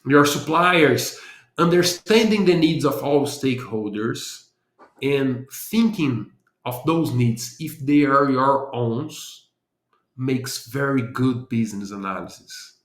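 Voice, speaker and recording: A man's voice: mid-range voice, slow pace, roomy sound. A man from Brazil with a Brazilian accent, a guest on a podcast interview, explaining his ideas earnestly and thinking aloud. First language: Portuguese. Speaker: guest, business analysis consultant.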